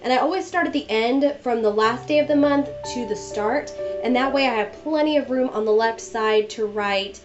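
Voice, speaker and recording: A woman's voice: a little high, normal speed, few pauses. A young woman narrating a journal-planning voice-over in a chatty, friendly way while she gets over a cold. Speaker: bullet journal vlogger. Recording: dull lo-fi voice-over with music behind.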